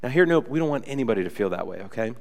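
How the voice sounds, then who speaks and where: A man's voice: medium pitch, big pitch swings, rapid-fire, creaky, a little mellow, in a large hall. A young man preaching a casual, conversational sermon in a church, a bit nasal from a cold and full of chatty fillers. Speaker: pastor. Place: church sanctuary.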